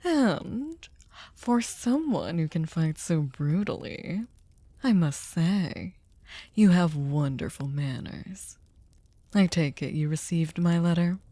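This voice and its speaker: A woman voicing a sadistic, possessive vampire hostess in an ASMR roleplay, silky, courtly and teasing, often slipping into breathy whispers. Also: fairly low pitch, lively intonation, takes it slowly, warm tone, dry recording, heavily acted. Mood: courteous, poised. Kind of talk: scripted ASMR roleplay, intimate dramatic monologue.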